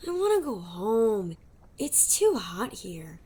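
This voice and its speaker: A woman plays a little boy prince in an audio drama, sulky and stubborn. The voice is husky, slow and very expressive in pitch. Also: heavily acted.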